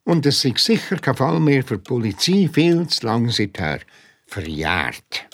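An eager detective sidekick reports in a children's radio play. The husky voice swings up and down as he draws out the suspense. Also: medium pitch, very animated, a little slow. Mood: excited, secretive. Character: Leo, Lisa's detective partner, eager storytelling sidekick.

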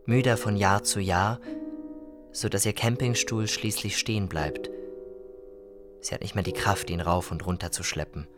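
A man narrating a literary story for a radio feature in a measured storytelling manner. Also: on the low side, fairly even intonation. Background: music.

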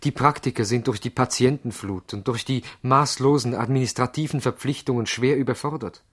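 A man's voice: medium pitch, brisk, breathy edge, natural voice. A man playing an earnest, worried country doctor in a radio drama, formal and serious, with a Swiss accent. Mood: reflective. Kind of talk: German-language radio drama acting. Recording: slightly veiled 1970s radio drama sound.

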